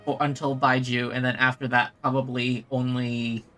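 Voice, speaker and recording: A man streaming a video game, informally reading dialogue aloud and thinking out loud as he plays. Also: mid-range voice, flat delivery, normal speed, faint music behind.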